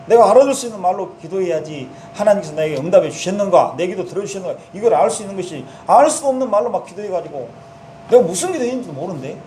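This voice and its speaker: Male pastor, Jeolla accent, fairly high voice, preaching casually in a reverberant sanctuary. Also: warm tone, slight background noise. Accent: Jeolla dialect. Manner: conversational preaching, acting out prayers in first person. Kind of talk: sermon.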